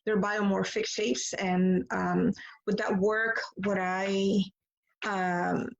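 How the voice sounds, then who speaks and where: A woman from Puerto Rico, a visual artist, speaking about her work in a halting way with many pauses and restarts. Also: mid-range voice, level tone, gravelly. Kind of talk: hesitant artist talk. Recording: slightly dull lo-fi audio.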